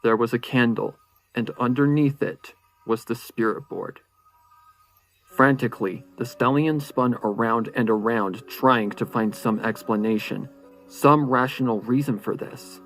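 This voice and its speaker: A man's voice: mid-range voice, unhurried, a bit bright, rough recording. A man narrating a horror story in a suspenseful, theatrical way. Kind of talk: dramatic horror fan-fiction narration. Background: faint music.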